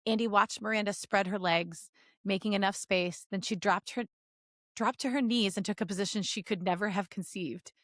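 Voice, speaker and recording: A woman's voice: medium pitch, fairly even intonation, normal speed, fairly bright tone, no room sound, clean studio sound. A woman podcast co-host reading fan fiction aloud in a giggly, joking way with casual asides.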